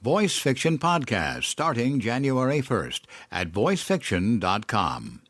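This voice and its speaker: A man's voice: mid-range voice, very animated, measured pace, fairly bright tone. A man reading a scripted podcast advert as a promo announcer.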